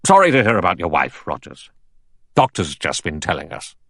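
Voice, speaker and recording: A man voicing a testy, gruff old army general in an audiobook, with an abrupt, clipped manner. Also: deep voice, huge pitch swings, normal speed, a bit bright, no echo, clean studio sound, exaggerated voice.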